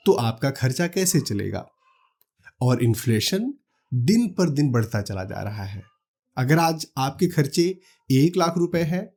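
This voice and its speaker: A man from India calmly explaining retirement money planning step by step, talking the listener through numbers and examples. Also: mid-range voice. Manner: calm, practical, walks through numbers step by step. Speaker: finance educator. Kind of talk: explanatory talk to camera.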